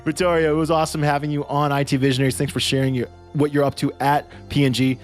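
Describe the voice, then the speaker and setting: A man's voice: a little high, flat delivery, quick pace, soft background music. A man hosting a podcast interview in a casual, chatty, effusive and informal way. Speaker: podcast host.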